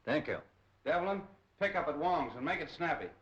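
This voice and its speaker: An actor playing a gruff, impatient American police detective with clipped delivery in 1930s film style. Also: male voice, a little high, lively intonation, brisk, a bit bright. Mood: brisk, commanding. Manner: clipped, brusque, wisecracking. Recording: dull, noisy 1930s film soundtrack.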